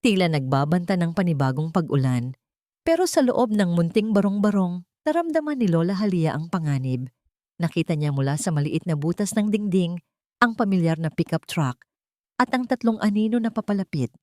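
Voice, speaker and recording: A woman's voice: lowish voice, lively intonation, normal speed, mellow, no echo, studio quality. A woman reading a dramatic scripted audio story as its narrator.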